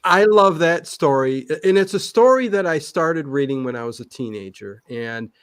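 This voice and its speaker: A man's voice: a little high, animated, normal speed, clean tone, dry recording, studio quality. A man chatting casually as an interview guest, rambling and hesitant, often repeating words as he works out a thought. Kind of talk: casual personal chat.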